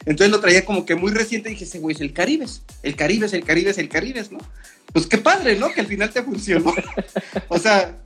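A man's voice: high-pitched, animated, moderate pace, a bit bright, faint music behind. A man with a Mexican Spanish accent hosting a casual talk, slangy and familiar, swearing freely. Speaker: host. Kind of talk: casual conversation.

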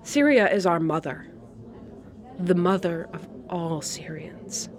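A woman's voice: lowish voice, expressive, slight background noise. An actress playing a proud, wistful Syrian refugee woman in her sixties, a retired art teacher chatting reflectively in a dramatic monologue. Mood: wistful, sad. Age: 60s. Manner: reflective, conversational, pausing between phrases. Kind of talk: dramatic monologue as intimate coffee shop chat. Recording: coffee shop chatter behind the voice. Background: cafe crowd chatter.

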